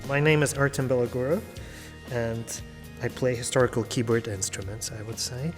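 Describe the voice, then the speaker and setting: A man's voice: mid-range voice, fairly even intonation, moderate pace, in a large hall, soft background music. A man in his thirties from Latvia and raised in Ukraine, a classical pianist chatting casually as an interview guest, thoughtful and full of fillers and restarts.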